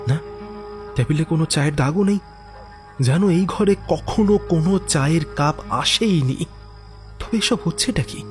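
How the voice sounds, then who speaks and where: Male horror audiobook narrator, fairly high register, theatrical and expressive first-person delivery over a music bed. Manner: expressive, theatrical, with musing asides and exclamations. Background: background music.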